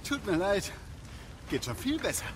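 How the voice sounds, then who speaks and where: A man's voice: high-pitched, big pitch swings, normal speed, a little hiss or hum. An actor playing a squeamish, apologetic craftsman who talks in hesitant, fussy broken phrases in an audio drama. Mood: queasy, apologetic. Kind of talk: dramatic audio play acting of a video game.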